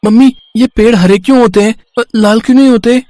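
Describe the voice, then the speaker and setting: जिज्ञासु, नटखट पाँच साल का लड़का महिला कलाकार की आवाज़ में. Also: female voice, a little high, a little fast, mellow, dry recording, close to an everyday voice. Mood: curious. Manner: childlike, eager, pestering. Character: curious, naughty five-year-old boy.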